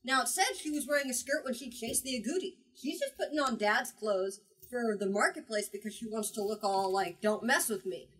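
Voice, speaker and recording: A woman's voice: fairly high pitch, a little fast, hardly any pauses, a bit bright, breathy edge. A woman in her twenties leading a book talk, reading aloud and explaining in a dramatic, emphatic way. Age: 20s. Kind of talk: expressive read-aloud and discussion. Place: small bookstore with seated group. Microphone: camera at a few metres. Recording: distant camera mic in bookstore.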